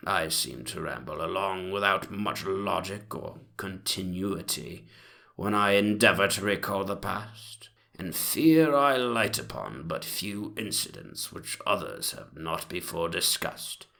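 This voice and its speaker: A man playing a very old, rambling gentleman memoirist with a pompous, old-fashioned manner in a dramatic reading. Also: deep voice, very animated, a little slow, gravelly, heavily acted. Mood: reminiscing.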